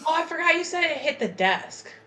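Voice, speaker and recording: A woman's voice: high-pitched, brisk, soft background music. A woman playing a tabletop game, chatting casually and expressively about what her character does. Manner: expressive, sweary, informal.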